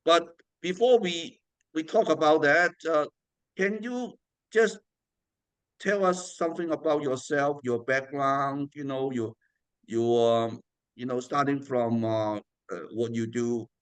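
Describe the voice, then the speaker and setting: A man's voice: mid-range voice, slow pace, smooth, dry recording. A man hosting an interview, asking friendly, rambling questions with lots of fillers. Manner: friendly, rambling with many "you know" fillers. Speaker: interview host.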